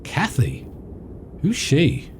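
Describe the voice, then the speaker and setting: An actor playing a lost gentleman traveller in a radio drama, formal, polite and theatrical. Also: mid-range voice, wildly swooping pitch, drawn-out words, exaggerated voice. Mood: sceptical. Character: lost gentleman traveller, well-mannered, excitable sceptic. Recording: clear background noise.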